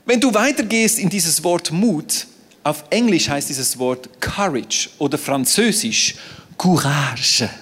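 A man whose first language is French, preaching a sermon on a stage in a playful, animated way. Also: a little high, takes it slowly, husky. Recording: reverberant hall.

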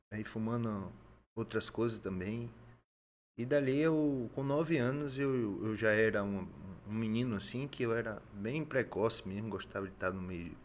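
A man from Salvador, a podcast guest who answers softly, hesitantly and briefly. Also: mid-range voice, slight background noise. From Salvador, Bahia, Brazil. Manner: soft-spoken, hesitant, short answers. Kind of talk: casual interview answers.

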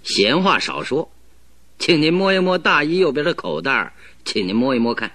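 An actor playing a composed, politely teasing detective in a radio play. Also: male voice, a little high, very animated, normal speed, bright, forceful tone, dry recording, heavily acted. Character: calm, wry male detective, middle-aged. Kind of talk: 1970s Chinese radio drama acting.

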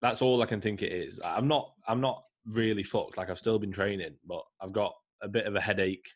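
A man co-hosting a podcast, croaky and ill, chatting casually with lots of fillers. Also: lowish voice, fairly quick. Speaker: podcast co-host. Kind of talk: casual chat.

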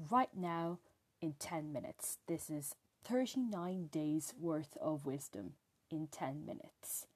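A woman's voice: on the low side, darkish tone. A woman hosting a podcast, speaking from loose notes. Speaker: podcast host. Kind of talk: semi-scripted podcast presenting.

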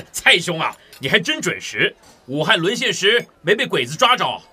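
A man's voice: sits high, very animated, unhurried, sharp tone, husky, faint music behind, full character voice. An actor playing a big, hearty, plain-spoken man in a Mandarin audio drama, with an emphatic manner. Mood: hearty, jovial.

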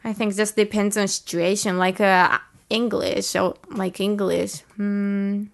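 A woman from Japan with a Japanese accent, chatting casually as a native-speaker guest, often pausing to search for words. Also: mid-range voice, level tone, gravelly. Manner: hesitant, searching for words, many fillers. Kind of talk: casual chat.

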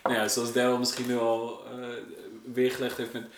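A man's voice: mid-range voice, completely flat delivery, normal speed, background noise. A man hosting a casual podcast interview in conversational speech. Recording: echoey room.

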